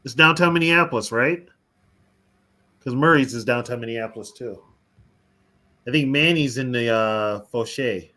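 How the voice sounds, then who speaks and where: A man's voice: medium pitch, normal speed, long pauses, sharp tone. A man co-hosting a casual live stream, chatty, laughing easily and talking to the viewers in the chat. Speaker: co-host of a fan podcast.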